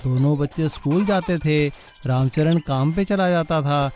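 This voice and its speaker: A man narrating an animated moral story in a steady, measured way. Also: on the high side, soft background music.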